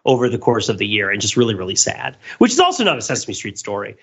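A man hosting a casual comedy podcast, retelling stories in an animated, rambling way full of tangents. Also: on the low side, fast, vocal fry, husky. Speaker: podcast host. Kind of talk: casual comedic pop-culture discussion. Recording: dull lo-fi podcast audio.

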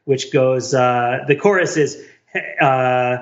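A man's voice: mid-range voice, fairly even intonation, slow. A man co-hosting a podcast, explaining things in a casual, nerdy, digressive intellectual chat. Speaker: podcast co-host. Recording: slightly dull, band-limited audio.

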